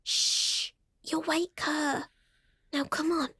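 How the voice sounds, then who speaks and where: A woman's voice: high-pitched, little pitch movement, slow pace, pushed and bright, full character voice. A woman voicing a sinister living doll, a sweetly coaxing, murderous little girl in a horror radio drama. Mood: urging, secretive. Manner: sing-song, coaxing, giggling.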